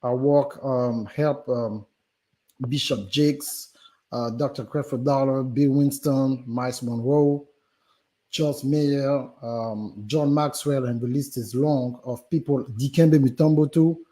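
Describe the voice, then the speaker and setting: A man's voice: medium pitch, steady tone, slow, warm tone. A man with a French accent, a business guest on a live stream interview, talking animatedly with many fillers and restarts. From Gabon.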